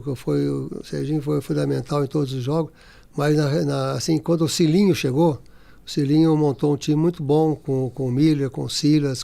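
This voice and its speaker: Former footballer guest, Brazilian man in his sixties, gravelly and mellow, speaks haltingly with lots of fillers. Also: on the high side. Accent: Brazilian Portuguese. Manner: halting, many restarts and fillers. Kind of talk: casual interview.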